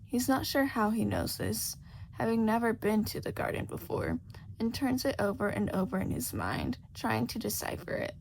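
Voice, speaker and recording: Female audio fiction narrator. Animated scripted storytelling, gravelly edge, slight noise in the background. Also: a little high, normal speed, poor audio. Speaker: podfic narrator.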